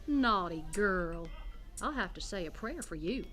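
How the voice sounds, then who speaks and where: A woman's voice: medium pitch, expressive, measured pace, fairly bright tone, faint music behind. A woman playing a self-righteous, haughty religious pundit with a sly streak in an audio drama, pompous and precise.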